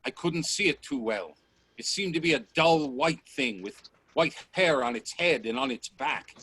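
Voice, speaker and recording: A man playing a bold, inquisitive gentleman explorer in a radio play, speaking in deliberate, theatrical statements. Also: on the high side, expressive, husky, full character voice. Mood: grim wonder. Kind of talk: radio play dialogue acting. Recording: faint noise on video-call audio.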